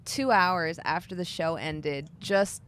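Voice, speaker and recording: A woman's voice: on the low side, slow pace, slight background noise. A woman dungeon master chatting animatedly with her players, laughing and poking fun at herself. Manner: animated, self-deprecating, laughing storyteller. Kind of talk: casual chat before a tabletop game.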